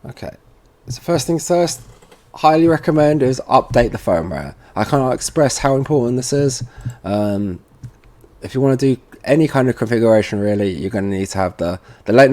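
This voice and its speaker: A man giving an informal software tutorial, thinking aloud as he works at a computer. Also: mid-range voice, expressive, a little fast. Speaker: tutorial presenter for a security products seller. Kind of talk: casual software walkthrough narration.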